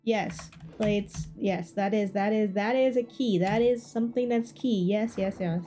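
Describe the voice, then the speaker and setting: A woman's voice: medium pitch, measured pace, mellow. A woman livestreamer chatting casually with her viewers, often repeating short phrases. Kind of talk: casual chat with stream viewers. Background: game music.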